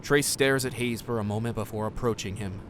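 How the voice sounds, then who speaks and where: A man's voice: lowish voice, fairly even intonation, a little fast, fairly bright tone, a little hiss or hum. A man reading scripted scene narration for an audio drama.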